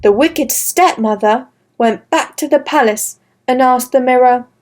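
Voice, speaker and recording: Woman reading a kids fairy tale, high voice, real slow and clear. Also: a little mellow. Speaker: storyteller. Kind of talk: scripted children's story narration.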